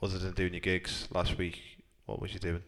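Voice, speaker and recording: A young man leads a loose chat with his bandmates, throwing out questions and the odd jab. His voice sits fairly low. He plays lead guitar in the band. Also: completely flat delivery, a little fast, a bit bright. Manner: asks questions, teasing. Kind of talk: casual interview chat.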